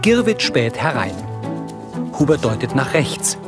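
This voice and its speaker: Male narrator over clear music. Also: medium pitch, big pitch swings, measured pace. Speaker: audio description narrator. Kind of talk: scripted present-tense narration.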